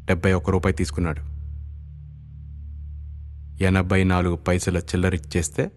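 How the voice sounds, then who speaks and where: An actor playing a defiant, righteous common man in a film, arguing in measured, sarcastic rhetorical questions over a score. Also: deep voice, little pitch movement, darkish tone, faint music behind, close to an everyday voice. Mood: calm, sardonic. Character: defiant common man holding a leader hostage, sardonic, righteous. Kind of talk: Telugu film dialogue, argumentative monologue.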